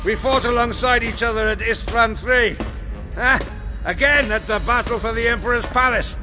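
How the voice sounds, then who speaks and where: An actor playing a boisterous, gloating traitor space marine, a weathered old war comrade, in an audio drama. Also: male voice, extremely high pitch, moderate pace, music in the background. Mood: boastful, reminiscing. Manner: hearty, gloating, theatrical.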